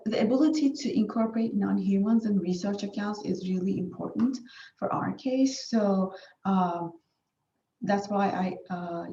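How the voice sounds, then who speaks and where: A woman's voice: medium pitch, warm tone, slight background noise. A woman whose first language is Turkish, giving a formal academic research presentation with frequent fillers and restarts. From Turkey. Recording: far-off dull echoey room recording.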